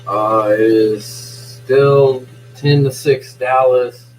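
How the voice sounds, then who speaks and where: A young man losing his voice, talking quietly while streaming a live play-by-play of a game from home at night. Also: medium pitch, fairly even intonation, extremely slow, a little hiss or hum, low-quality recording. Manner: quiet, subdued talk. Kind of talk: casual live-stream sports commentary.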